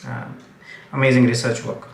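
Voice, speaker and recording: A man, a Gujarati speaker, hosting a casual commentary livestream and talking in halting, restart-filled sentences. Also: medium pitch, flat delivery, gravelly. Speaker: livestream host. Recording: roomy, distant mic, faint ambience.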